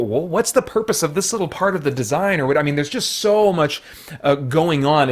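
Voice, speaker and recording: A man hosting a casual spoken movie review, rambling along with jokey, animated asides. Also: fairly high pitch. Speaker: film reviewer and host.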